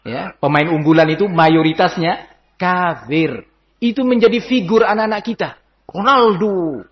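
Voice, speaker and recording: A man with a fairly high voice and an Indonesian accent teaches in the style of an informal Islamic lecture. He is didactic yet conversational, breaking his points up with pauses and rhetorical questions. The recording is dull and lo-fi.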